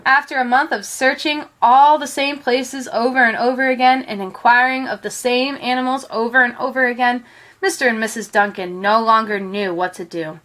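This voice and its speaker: Higher-voiced woman reading a storybook. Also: steady tone, moderate pace, a bit bright. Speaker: storybook reader. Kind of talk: expressive read-aloud of a children's picture book.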